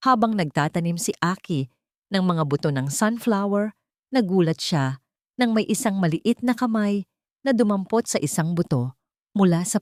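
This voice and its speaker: A woman with a Filipino accent reading a scripted story in a measured, sentimental, dramatic way. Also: on the low side, a little mellow, no echo, studio quality. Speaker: storyteller, narrator.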